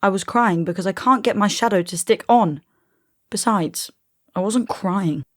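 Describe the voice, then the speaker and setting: A woman's voice: mid-range voice, a little slow, dry recording, clean studio sound, lightly acted. A woman playing a cocky young boy in a full-cast audiobook, with a blunt, defiant manner. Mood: defiant, indignant. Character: cocky, boastful young boy who never grows up.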